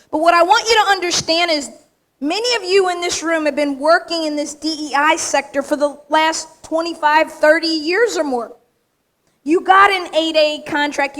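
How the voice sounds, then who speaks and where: A woman with a high, slightly raspy voice delivers an acceptance speech to a full room. She talks straight and with emphasis, landing her points in short, punchy phrases. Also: fairly bright tone. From United States. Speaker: award recipient giving an acceptance speech. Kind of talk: acceptance speech to a live audience. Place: large event room with audience.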